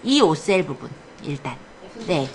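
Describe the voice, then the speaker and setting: A woman's voice: low voice, very animated, a little slow. A woman teaching a small computer class, guiding students step by step with patient questions. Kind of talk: hands-on classroom instruction. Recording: echoey classroom.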